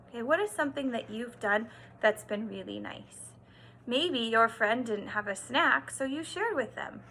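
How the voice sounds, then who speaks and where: A woman teacher doing an expressive read-aloud for young children, stressing words and pausing to explain and ask simple questions. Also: fairly high pitch, vocal fry, a little hiss or hum. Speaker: kindergarten teacher.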